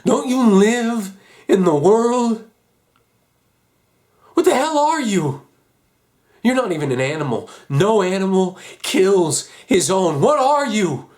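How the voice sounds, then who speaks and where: A man playing an anguished, idealistic young American war veteran, guilt-ridden and outraged, in an intense, halting dramatic stage monologue. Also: on the high side, lively intonation. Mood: outraged, pleading. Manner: intense, halting, emphatic, emotional.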